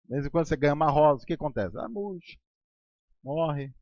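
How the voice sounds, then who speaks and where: A man's voice: on the high side, expressive, fairly quick, dry recording, muffled recording. A man preaching a sermon as pastor in a Brazilian Portuguese accent, talking conversationally with sarcastic asides and ironic voices. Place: church hall.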